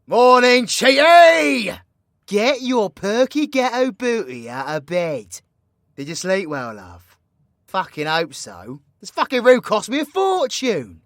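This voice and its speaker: A man's voice: high voice, very animated, slow, a bit bright, no room sound, clean studio sound, full character voice. A British man voicing a cocky, crude, flirty supervillain in a roleplay audio, with sweary, teasing, slangy banter. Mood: loud indignant teasing. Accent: British English. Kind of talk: villain roleplay ASMR audio drama.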